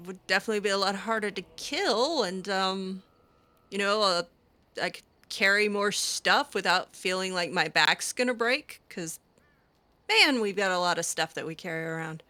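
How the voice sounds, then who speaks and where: A woman's voice: medium pitch, normal speed, pushed and bright. A woman roleplaying her character aloud in a game, talking back to the characters in a hesitant, giggly way, full of ums and restarts.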